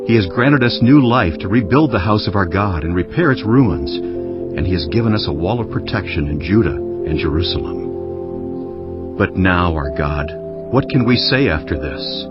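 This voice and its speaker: A man narrating dramatized scripture in a solemn, measured manner. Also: low-pitched, expressive. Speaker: Bible narrator. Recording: dull audio under music. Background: prominent background music.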